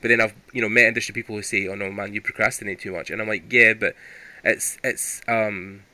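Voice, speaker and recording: Male podcast host, lower voice with a very bright, forceful edge; earnest, rambling, conversational and reflective. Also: fairly even intonation, a little fast, dry recording. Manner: earnest, rambling, self-reflective, leaning on "you know".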